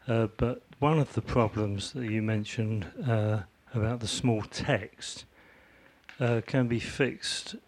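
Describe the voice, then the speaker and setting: Guy at a meeting, talks slow, lots of uhs and ums. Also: fairly low pitch, steady tone. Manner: hesitant, many fillers. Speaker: audience member, volunteer who built the website. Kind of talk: meeting discussion.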